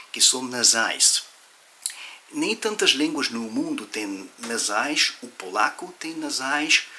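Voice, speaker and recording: A man's voice: mid-range voice, sharp tone, a little hiss or hum. A man in his fifties with a foreign, non-native accent, talking informally and explaining as he searches for his words. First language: not Portuguese, a second language. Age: 50s. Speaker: language vlogger. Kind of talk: informal motivational talk about language learning.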